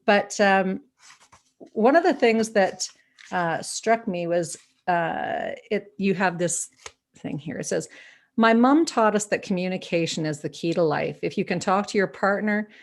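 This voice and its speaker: A woman's voice: medium pitch, unhurried. A woman from Canada hosting an interview, effusive and chatty. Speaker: interview host. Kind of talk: conversational interview.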